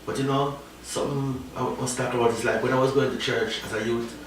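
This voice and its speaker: A man's voice: mid-range voice, fairly even intonation, fast talker. A man from Jamaica with a Jamaican accent, a reggae artist in a casual interview who rambles and keeps tagging his sentences with "you know?". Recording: echoey, distant, faint noise.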